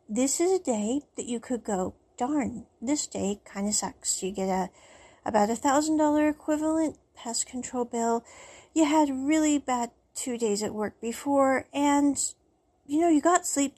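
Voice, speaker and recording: A woman telling a casual personal story to the camera, chatty and animated, with frequent laughs. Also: high-pitched. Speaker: vlogger.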